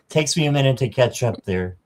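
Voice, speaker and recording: A man in his fifties hosting a casual livestream, greeting viewers by name and filling pauses with ums. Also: medium pitch, a little mellow. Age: 50s. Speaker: livestream host.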